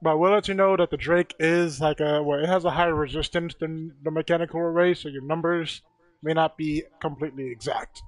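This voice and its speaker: Higher-voiced young man, dry close sound. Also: steady tone, fairly quick, no room sound. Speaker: gamer chatting with streamer. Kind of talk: casual gaming voice chat.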